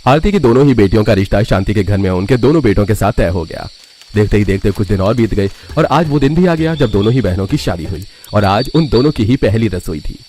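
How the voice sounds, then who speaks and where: A man's voice: lowish voice, animated, a little fast, darkish tone, slight background noise. A man narrating a scripted cartoon family story.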